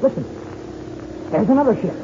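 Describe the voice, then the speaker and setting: An actor playing an eager, boyish young American pilot in an old radio serial, earnest and enthusiastic, with an American accent. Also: male voice, sits high, big pitch swings, moderate pace. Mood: excited. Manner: earnest, gee-whiz enthusiasm. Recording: noisy, dull old radio recording.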